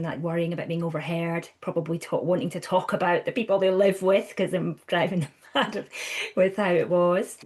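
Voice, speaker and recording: A Scottish woman in her thirties, a counsellor talking through her work in a chatty, animated way, often restarting her sentences. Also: fairly low pitch. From Scotland. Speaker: guest counsellor. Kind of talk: conversational podcast interview.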